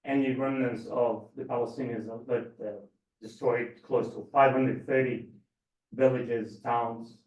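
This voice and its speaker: A man from the Gaza Strip speaking as a panel speaker, earnest and measured, with frequent ums. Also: medium pitch, darkish tone. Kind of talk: panel talk. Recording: roomy, distant, dull sound.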